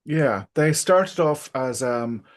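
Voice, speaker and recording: A man's voice: mid-range voice, expressive, slow. Hesitant middle-aged man in interview. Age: middle-aged or older. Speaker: interview guest, writer. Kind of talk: casual reflective interview talk.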